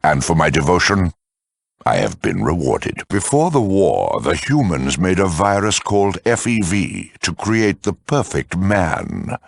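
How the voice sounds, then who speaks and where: Super deep raspy mutant officer for a game, smug n fancy talking, takes his time. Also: big pitch swings, dry recording, studio quality, exaggerated voice. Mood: proud, zealous. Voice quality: very deep, gravelly, rasping. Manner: condescending, eloquent, slow and deliberate. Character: huge super mutant lieutenant, smug, erudite, menacing zealot. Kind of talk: theatrical video game villain acting.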